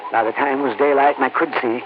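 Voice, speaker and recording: An actor playing a chatty, homespun older farmer in a 1940s radio drama. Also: male voice, medium pitch, fairly even intonation, fast talker, narrow, tinny audio, close to an everyday voice. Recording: thin noisy old radio broadcast.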